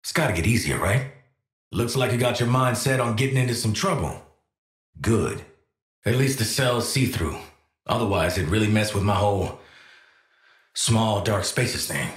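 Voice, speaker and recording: Video game assassin played by a man: American, cynical and cocky, wry street slang, lively pitch swings, roomy sound. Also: moderate pace, pauses often, natural voice. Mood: wry, confident. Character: cynical, cocky male assassin and protective father. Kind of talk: video game character voice lines.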